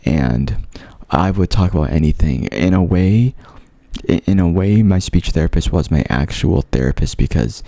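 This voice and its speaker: A man's voice: deep voice, lively intonation, normal speed, creaky, dark tone, dry recording. A young man with a stutter, earnestly telling his own story to his viewers, repeating sounds and words as he goes. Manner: earnest, open. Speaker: vlogger. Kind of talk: personal storytelling to camera.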